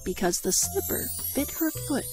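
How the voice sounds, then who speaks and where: A woman's voice: high voice, sing-song, normal speed, over music, poor audio. A woman with an American accent narrating a scripted fairy tale for children. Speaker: storyteller.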